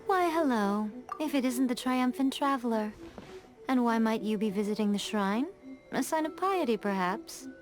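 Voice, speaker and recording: A woman's voice: fairly high pitch, lively intonation, normal speed, close to an everyday voice. A woman playing an elegant, teasing, centuries-old fox-spirit shrine maiden in a scripted video game, speaking in a poised, formal way. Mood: playful teasing. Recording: music behind the voice.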